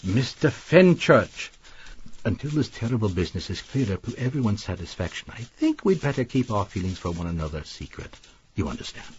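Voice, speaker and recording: An actor playing an outwardly polite, scheming publisher in a period mystery audio drama, speaking formal, measured English. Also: male voice, on the low side, very animated, darkish tone, dull audio, slight background noise. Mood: stern, secretive.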